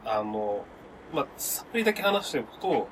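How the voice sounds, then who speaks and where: A man in his thirties chatting casually as a podcast co-host, excitable and gushing, often trailing into half-finished sentences. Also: mid-range voice, brisk, background noise. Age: 30s. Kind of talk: casual chat among friends.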